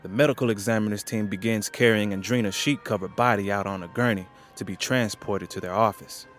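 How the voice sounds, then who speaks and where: A man's voice: fairly low pitch, fairly even intonation, brisk, fairly bright tone, soft background music. A man reading scripted narration for an audio drama.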